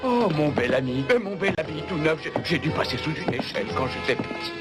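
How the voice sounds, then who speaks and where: Swooping high-voiced cartoon trickster. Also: male voice, sing-song, a little fast. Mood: flustered flattery. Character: cheeky, theatrical, gluttonous trickster man. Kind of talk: French animated series voice acting. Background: loud music.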